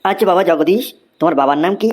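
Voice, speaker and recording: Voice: mid-range voice, very fast, darkish tone, lightly acted. A performer voicing an exasperated male schoolteacher in a Bengali comedy cartoon, with a theatrical, scolding manner. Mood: patient, quizzing.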